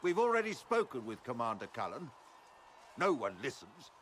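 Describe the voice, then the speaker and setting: An actor playing an aggrieved, complaining male mage in a video game, forceful in his delivery. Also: medium pitch, very animated, normal speed, a little hiss or hum, close to an everyday voice. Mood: indignant. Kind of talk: video game character dialogue.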